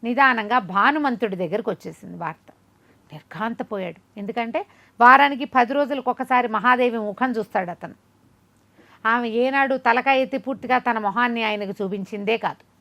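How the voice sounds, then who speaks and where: High-voiced woman telling a folk tale. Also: normal speed, gravelly. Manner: conversational, expressive, repeats phrases for emphasis. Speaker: storyteller. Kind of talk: oral storytelling of a folk moral tale, acting out dialogue.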